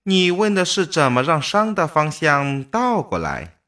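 A man's voice: fairly high pitch, huge pitch swings, measured pace, pushed and bright, exaggerated voice. A man playing a curious, unsentimental immortal human mind roaming space in a sci-fi radio drama, talking in a conversational, matter-of-fact way.

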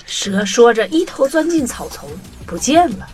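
A woman narrating a scripted children's story in an expressive, dramatic storytelling manner. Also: fairly high pitch, moderate pace. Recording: clear music bed under the voice.

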